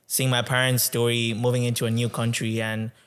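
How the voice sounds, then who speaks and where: Flat-voiced young man, smooth and clear. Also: mid-range voice. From Tanzania. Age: 20s. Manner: reflective, with many um and uh pauses. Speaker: podcast guest. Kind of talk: conversational interview storytelling.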